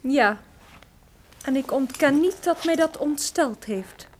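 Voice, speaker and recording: A woman's voice: high voice, animated, takes it slowly, darkish tone, a little hiss or hum. An actress playing a captive noblewoman in a radio drama, distressed yet defiant, speaking formally with impassioned pleading. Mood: reproachful. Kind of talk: theatrical radio drama acting.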